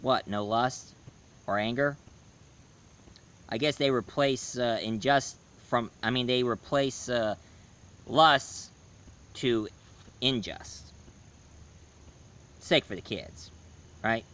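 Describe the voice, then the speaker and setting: A man giving a casual spoken review, hoarse, rambling and correcting himself mid-sentence. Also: mid-range voice, slow pace, long pauses, a bit bright. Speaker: movie and comics reviewer.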